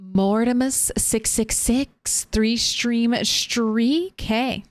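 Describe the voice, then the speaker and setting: A woman's voice: fairly high pitch, drawn-out words, dry recording. A young adult woman streaming a game, chatting casually, thinking aloud and laughing often. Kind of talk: casual chat while gaming.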